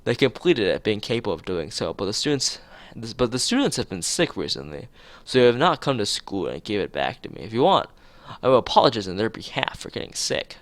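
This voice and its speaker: A man's voice: lowish voice, very animated, fairly quick, no room sound. A man voicing a polite but cutting schoolgirl class representative, formal and barbed, in a visual novel. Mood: defensive.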